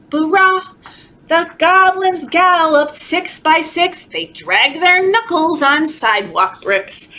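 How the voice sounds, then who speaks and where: A woman leading a children's story time, reading aloud in an animated, encouraging, sing-song way, as if talking to toddlers. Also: high-pitched. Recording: clearly noisy background.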